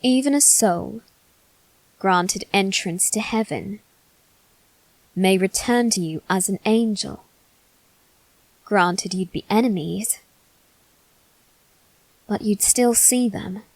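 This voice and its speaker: A woman playing a brooding, haughty aristocratic boy bent on revenge, speaking formally and deliberately in a dramatic ASMR roleplay. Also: medium pitch, no echo, low-quality recording. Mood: somber, resigned. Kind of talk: dramatic ASMR roleplay monologue addressed to listener.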